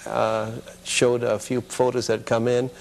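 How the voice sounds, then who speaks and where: American man reminiscing, medium-pitched voice. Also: flat delivery, a little slow, creaky, low-quality recording. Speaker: guest, former children's TV producer and puppeteer. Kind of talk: casual interview reminiscence. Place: television studio.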